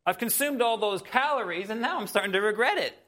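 The slightly high voice of a man you would hear from a small church pulpit on a Sunday, chatting to the congregation in homely, musing asides. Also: lively intonation, a little fast, sharp tone. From Canada. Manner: folksy, musing, addresses listeners directly. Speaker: pastor. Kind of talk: sermon, conversational with personal anecdotes.

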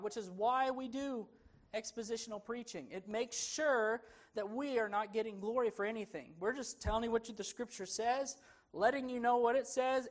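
A man preaching an expository sermon in a church, earnest and emphatic, his delivery rising and falling for emphasis. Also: sits high. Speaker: pastor.

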